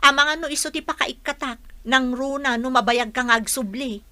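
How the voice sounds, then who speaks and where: A woman's voice: high voice, normal speed, pushed and bright, no room sound. A woman playing a practical, reluctant friend who questions and protests, in a Filipino radio drama. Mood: protesting.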